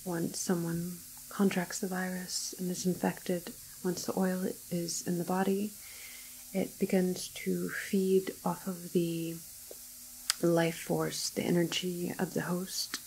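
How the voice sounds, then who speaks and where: Woman doing an asmr doctor, calm n clinical, soft and flat, kinda hesitant, bit of background noise. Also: fairly low pitch, low-quality recording. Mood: grave, explanatory. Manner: hesitant, measured, explanatory. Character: calm, clinical woman doctor at an alien-virus quarantine facility. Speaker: ASMR roleplay performer. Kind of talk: ASMR roleplay, soft-spoken with whispered stretches.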